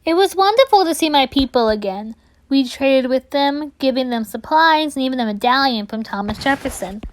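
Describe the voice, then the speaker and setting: A woman reading a children's picture book aloud in an expressive storytelling style, drawing out words for emphasis. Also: sits high, moderate pace.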